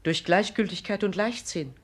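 A woman's voice: mid-range voice, normal speed, breathy edge, natural voice. A woman playing a proud, aggrieved female regent in a classic German radio drama, declaiming formally and emphatically in standard German. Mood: troubled, indignant.

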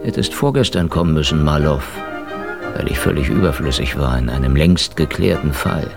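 A man's voice: extremely low pitch, gravelly. A man playing a world-weary veteran Russian detective, narrating his case in a dry, wry radio drama style. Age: middle-aged or older. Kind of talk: hard-boiled first-person radio drama narration. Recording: music bed under the voice.

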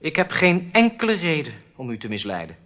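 An actor playing a frightened, compliant captured German spy in a radio drama, halting and submissive. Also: male voice, on the high side, huge pitch swings, a bit bright. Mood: eager to comply. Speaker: prisoner under interrogation.

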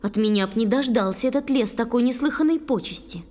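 An actress playing a tender, jealous young water sprite, speaking expressive verse in a children's audio play. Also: female voice, a little high, a little fast, close to an everyday voice. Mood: jealous indignation. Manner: expressive, dramatic. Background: faint music.

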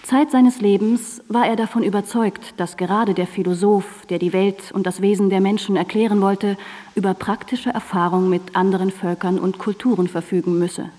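A woman's voice: medium pitch, fairly even intonation, moderate pace, warm tone, in a large hall. A woman reads scripted narration for a radio drama in a composed, formal and precise manner.

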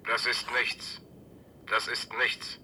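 An actor voicing a cold, all-knowing machine in a radio play, flat and repetitive, through a radio-like filter. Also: male voice, fairly low pitch, slow, a little hiss or hum, exaggerated voice. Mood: cold, dismissive. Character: cold all-knowing talking device, dismissive and possessive. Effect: radio or telephone filter. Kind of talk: German radio play acting. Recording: harsh, filtered small-speaker sound.

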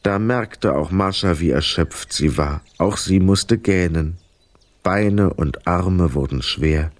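Low-voiced male storyteller. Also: slow pace. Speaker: narrator. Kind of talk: scripted storytelling for children's radio play.

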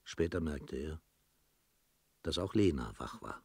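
Solemn radio play narrator, deep voice, measured and formal, close dry sound. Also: male voice, lively intonation, husky, dry recording, full character voice. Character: solemn literary narrator of a seafaring tale.

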